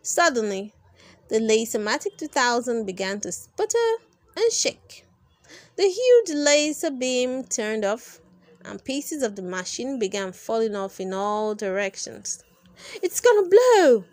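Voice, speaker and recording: A woman narrates a children's story with a fairly high voice and very lively pitch movement. She takes her time, leaning dramatically on key words. The sound is close and dry. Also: dry recording. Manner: dramatic, expressive delivery with drawn-out emphasis. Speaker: storyteller reading a children's book aloud. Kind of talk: animated read-aloud narration for kids.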